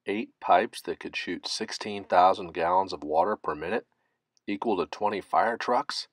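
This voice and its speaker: A man reading a children's picture book aloud, phrasing it deliberately and stressing key words. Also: deep voice, dry recording. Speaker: storybook reader.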